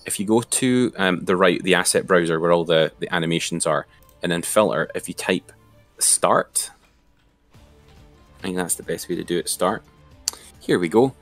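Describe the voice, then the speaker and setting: A man's voice: low voice, moderate pace, fairly bright tone, soft background music. A man giving an informal, step-by-step screen-share tutorial as an instructor.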